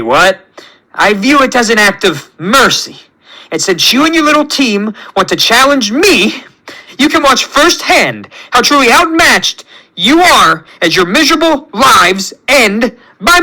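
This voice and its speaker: A man's voice: high-pitched, creaky, pushed and bright, heavily acted, poor audio. A man voicing an arrogant, cruel villain who believes himself a perfect god, speaking in a pompous, theatrical, sneering way. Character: arrogant megalomaniac male self-made god villain, cruel and contemptuous.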